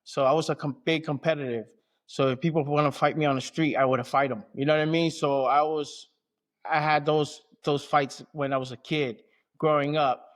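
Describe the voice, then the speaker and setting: A man's voice: a little high, fairly even intonation, normal speed. A man from American Samoa and Southern California, an athlete being interviewed, talking casually and colloquially with frequent check-in phrases. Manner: colloquial, frequent "you know what I mean". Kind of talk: casual conversation.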